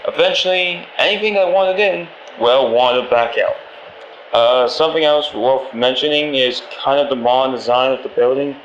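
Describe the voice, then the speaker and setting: Nervous but chipper pizza place trainer on a phone message, stammery, dull roomy sound, bit of hiss. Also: male voice, medium pitch, a bit bright. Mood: breezy, reassuring. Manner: hesitant, awkwardly upbeat, downplaying, stammering. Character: friendly, nervous male pizzeria employee leaving phone training message. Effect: telephone voicemail. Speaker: company trainer for night guards. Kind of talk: rambling recorded phone message, game voice acting. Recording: muffled, roomy phone audio with faint noise.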